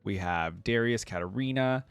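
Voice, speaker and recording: A man's voice: lowish voice, moderate pace, creaky, clear, resonant tone, no echo, soft background music. A man giving casual, conversational reaction commentary to viewers, thinking aloud in a rambling way. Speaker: video creator reacting to game lore.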